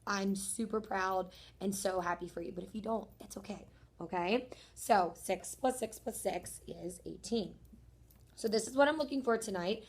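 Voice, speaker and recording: Hoarse woman teacher explaining homework. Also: medium pitch, moderate pace. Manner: friendly, encouraging, talks through each step. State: losing her voice, hoarse. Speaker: third grade teacher. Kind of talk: homework explanation video for students.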